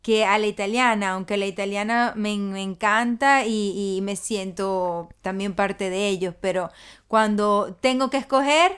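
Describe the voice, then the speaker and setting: A woman's voice: medium pitch, unhurried, fairly bright tone, clean tone. A woman from Venezuela with a Venezuelan accent, chatting as a guest in a casual interview, often restarting and repeating words. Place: London.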